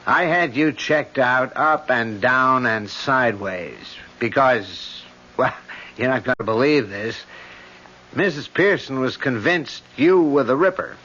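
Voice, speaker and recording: Brisk, forceful police lieutenant. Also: male voice, medium pitch, expressive, full character voice. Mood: brisk, puzzled. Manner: matter-of-fact, clipped. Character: brisk male police lieutenant, gruffly friendly. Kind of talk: old-time radio mystery acting. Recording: dull lo-fi old radio recording.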